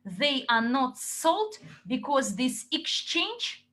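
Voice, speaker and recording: A woman interpreting a company update into English, relaying questions and answers in short, halting chunks. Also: sits high, big pitch swings, pushed and bright.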